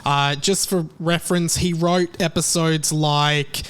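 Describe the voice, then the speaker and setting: A man hosting a podcast, talking in a chatty, excitable way with lively jumps in his voice. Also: a little high, slow pace, clean tone. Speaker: podcast host. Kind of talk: casual chat.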